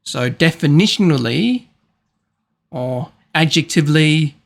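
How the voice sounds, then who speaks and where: A man makes his case over a voice call, repeating himself insistently and sometimes stumbling over a word. He talks very slowly, in a fairly high voice for a man. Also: lively intonation, vocal fry. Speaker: debater. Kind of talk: casual online debate.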